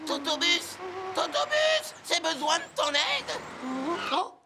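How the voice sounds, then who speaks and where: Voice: very high voice, very animated, slow, exaggerated voice. An actor voicing a small hot-tempered cartoon vehicle in a French children's dub, pleading and exclaiming, with music behind. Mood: desperate pleading.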